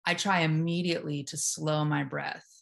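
A woman with a naturally low voice, speaking calmly and deliberately as a hypnotherapist guest in a casual interview. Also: gravelly, a little hiss or hum. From Memphis, US South. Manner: calm, deliberate, steady delivery. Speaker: hypnotherapist at a psych hospital, guest.

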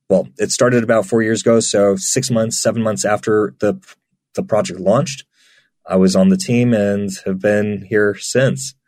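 A man giving relaxed, chatty answers as an interview guest, often restarting his sentences. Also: on the low side, no echo. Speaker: guest, marketplace team member.

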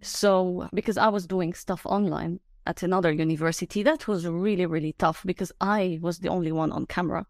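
A young woman hosting a podcast, with a low, soft voice; she chats casually, laughing often and hesitating with fillers. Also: steady tone, brisk, hardly any pauses, dry recording, clean studio sound. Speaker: podcast host. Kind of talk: casual conversational chat.

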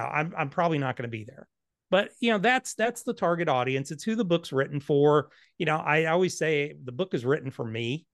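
Man in his fifties, blunt and candid, smooth clear voice, dry close sound. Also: medium pitch, fairly bright tone, no room sound. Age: 50s. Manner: blunt, candid, self-deprecating. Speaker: author and financial planner. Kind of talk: podcast interview answers.

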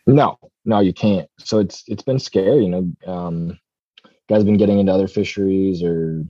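A man's voice: sits low, moderate pace, dark tone, no echo, highs cut off. A man from Southeast Alaska, a commercial fisherman chatting casually as a podcast guest, rambling with many ums and restarts.

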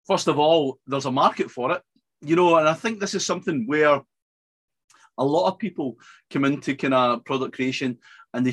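A man co-hosting a casual business podcast, relaxed and agreeable, with a fondness for old sayings. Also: medium pitch. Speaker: podcast co-host.

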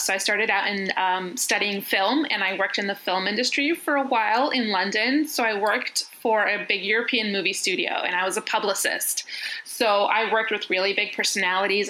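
Bright-voiced American woman, chatty. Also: mid-range voice, rough recording. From New York. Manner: chatty, laughs often, restarts sentences. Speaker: guest copywriter. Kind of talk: casual interview chat.

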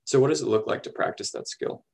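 A man's voice: mid-range voice, quick pace, gravelly, a little mellow. A man hosting a podcast, asking curious, conversational questions. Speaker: podcast host. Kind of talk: interview questions.

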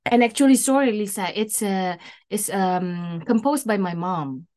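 A middle-aged woman with an Indonesian accent chatting casually as an interview guest, speaking haltingly and often repeating her words. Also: mid-range voice, expressive, a little mellow. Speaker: podcast guest, singer and MC.

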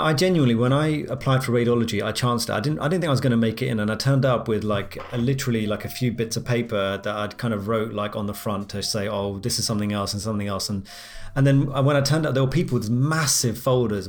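A man's voice: medium pitch, fast talker, faint music behind. Chatty man hosting a podcast. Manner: chatty, rambling with many "I mean"s. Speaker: podcast host, radiologist. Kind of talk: casual podcast chat.

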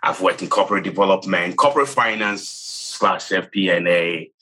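Ghanaian man, late thirties, low voice, bright forceful tone, thoughtful interview guest. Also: moderate pace, a little hiss or hum. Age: late 30s. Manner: thoughtful, with frequent restarts and "you know". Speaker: CFO guest. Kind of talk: interview, telling his career story.

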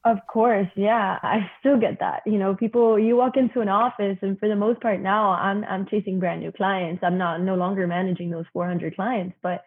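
A woman's voice: medium pitch, steady tone, normal speed, few pauses, slightly rough. Young woman on a tinny line. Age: 20s. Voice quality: young-sounding voice. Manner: chatty, frequent "you know" fillers. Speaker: guest. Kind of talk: casual interview talk.

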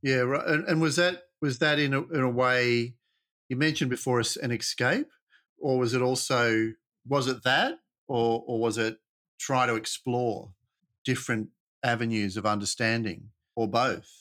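A man hosts an interview, mid-pitched and unhurried. He asks halting, searching questions and sums up the guest's answers. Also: fairly bright tone.

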